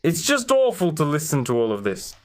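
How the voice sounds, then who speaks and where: Sarcastic man with a smooth voice. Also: fairly high pitch, huge pitch swings, brisk. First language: Ukrainian and Russian. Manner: mocking, sarcastic asides. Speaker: commentary channel host. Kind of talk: sarcastic video commentary.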